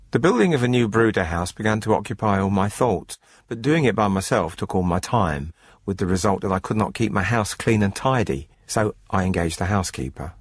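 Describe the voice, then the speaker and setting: A smug farmer with murder on his conscience, narrating a radio drama. His voice is low and slightly husky, with measured, formal phrasing and a dry, coolly calculating air. The sound is close and dry, with music behind him. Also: no room sound. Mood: smugly content.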